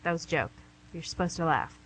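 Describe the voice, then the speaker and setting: A woman's voice: sits low, fast talker, fairly bright tone. An actress playing the Devil as a playful, charming, smug female boss in a comic radio play, chatty and theatrical. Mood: teasing. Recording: noisy amateur recording with hum.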